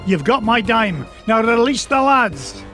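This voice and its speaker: An actor playing a feisty old Scottish duck, a rich adventuring uncle, crotchety and indignant in a cartoon game. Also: male voice, very high-pitched, big pitch swings, unhurried, sharp tone, exaggerated voice. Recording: music behind the voice.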